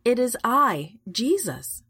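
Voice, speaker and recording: Lady doing gentle kind jesus, storybook reading, slow, dry. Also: on the high side, sing-song, breathy edge, no room sound, heavily acted. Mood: reassuring. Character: calm, kind adult man teacher Jesus.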